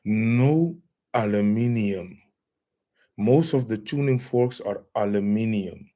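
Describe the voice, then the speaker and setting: A man's voice: lowish voice, takes it slowly, warm tone. A man hosting a live-streamed lecture, greeting viewers by name and asking where they are watching from. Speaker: livestream host.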